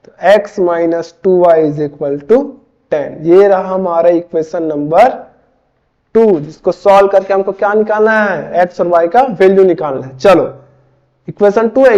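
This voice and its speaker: A man's voice: high-pitched, dark tone, dull audio. A man in his twenties from Chhattisgarh, India, casually teaching maths step by step, often asking and answering his own questions. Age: 20s. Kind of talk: casual tutorial explaining board exam maths.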